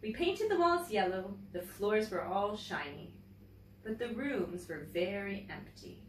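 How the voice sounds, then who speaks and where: A woman reads a children's story with very lively, swooping intonation at a medium pitch. She sounds like a teacher, shaping phrases expressively and speaking directly to young listeners. The recording is roomy and a little distant from the mic. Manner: expressive, pausing to comment and ask young listeners questions. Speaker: teacher and story-time host. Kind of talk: read-aloud picture book storytelling for children. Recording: roomy, distant mic, faint background noise.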